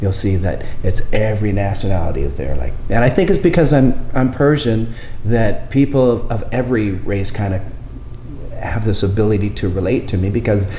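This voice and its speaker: A man's voice: fairly low pitch, a little fast, warm tone. A middle-aged man who grew up in Taiwan and Los Angeles, talking earnestly as a musician guest in an interview, often repeating words. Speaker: guest saxophonist and teacher. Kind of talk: casual interview talk. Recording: echoey room with faint noise.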